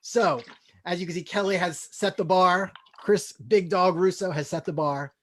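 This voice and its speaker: A man's voice: high-pitched, moderate pace. A man acting as host and narrator of a comedy table read, in a mock-formal style.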